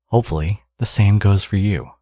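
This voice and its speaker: Man acting a low, husky, smug villain. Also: normal speed, dark tone, no echo, lightly acted. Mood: defiant, smug. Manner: teasing, sardonic, drawling threats. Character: smug supervillain man, teasing ex-enemy and doting father. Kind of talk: ASMR audio roleplay, intimate acted monologue.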